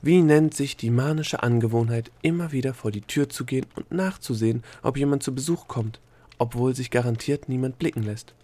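A man's voice: mid-range voice, brisk, dark tone, voice pushed up, lightly acted. A man voicing a strict female examiner creature in an audiobook, with a cold, formal and officious manner. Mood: solemn. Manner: formal, solemn, stern, polite. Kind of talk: audiobook character voice.

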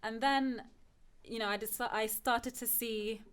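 A woman's voice: fairly high pitch, fairly even intonation, measured pace, fairly bright tone, smooth. Reflective young woman from Turkey. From Turkey, moved to England at ten. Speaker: podcast guest, PhD student. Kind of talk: conversational personal discussion.

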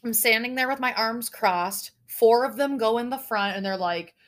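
A woman's voice: on the high side, normal speed. A young woman podcast co-host with a nasal voice, telling a story in casual chat, swearing often. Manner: animated, swears often, tells stories breathlessly.

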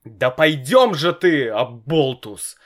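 A man's voice: medium pitch, sing-song, slow, pitched above the performer's own voice. A man doing a hot-tempered, frantic dad. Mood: agitated. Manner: loud, exasperated, snapping. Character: nervous, hot-tempered father, shouting and hissing. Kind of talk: storybook reading.

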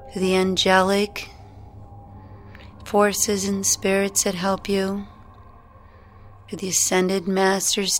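A woman guiding a meditation in a gentle, soothing, unhurried way, leaving long pauses between phrases. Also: medium pitch. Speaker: meditation guide. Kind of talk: guided meditation narration. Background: music.

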